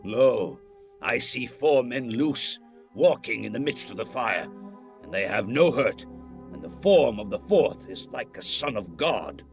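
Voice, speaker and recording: An actor playing a proud, wrathful ancient king in a dramatized scripture, speaking in a grand, deliberate, declamatory way. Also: medium pitch, very animated. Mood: astonished. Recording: music bed behind the voice.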